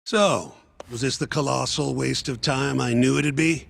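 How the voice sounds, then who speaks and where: An actor in an English game dub playing a brash, flirty middle-aged lawyer with a crass, casual manner. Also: male voice, mid-range voice, unhurried, vocal fry, a little hiss or hum. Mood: dismissive.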